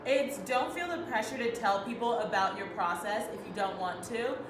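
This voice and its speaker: A woman's voice: mid-range voice, normal speed. Chatty young woman hosting in echoey room. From Los Angeles. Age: young adult. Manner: chatty, laughing, reads tips aloud. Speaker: host. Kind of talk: casual group chat. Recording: echoey room, background noise, far from mic.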